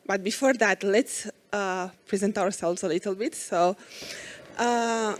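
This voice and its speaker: Slow, hesitant woman from Romania. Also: mid-range voice, steady tone. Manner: hesitant, many fillers. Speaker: conference speaker, IT architect. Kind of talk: conference talk.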